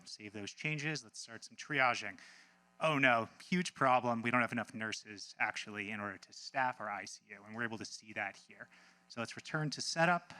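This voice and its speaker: A man giving a casual live software demo as a team presenter, informal and breezy with wry asides. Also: lowish voice.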